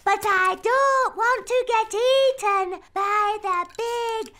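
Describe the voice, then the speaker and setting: A performer voicing an expressive, imaginative and bossy little girl princess in a preschool cartoon. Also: very high-pitched, slow pace, full character voice. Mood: playful, eager. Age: child. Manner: expressive, childlike, eager.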